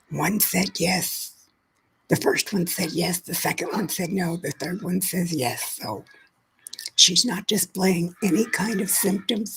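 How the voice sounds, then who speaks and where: A woman's voice: fairly low pitch, fairly bright tone, slightly rough, low-quality recording. A woman who has lost her voice, whispering her way through a chatty livestream and greeting viewers by name. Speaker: livestream host. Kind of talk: casual live chat with viewers.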